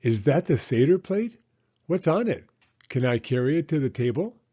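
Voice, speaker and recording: A man voicing an excitable, curious little girl in a storybook reading. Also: medium pitch, normal speed, slightly rough, dull audio, pitched above the performer's own voice. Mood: eager, curious.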